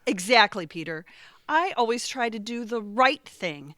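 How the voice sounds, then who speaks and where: Woman acting a resentful, highly animated sister. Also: unhurried, dry recording, studio quality. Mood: aggrieved. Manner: sarcastic, aggrieved. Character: resentful, competitive, overdramatic grown sister. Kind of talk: audio theatre family comedy-drama acting.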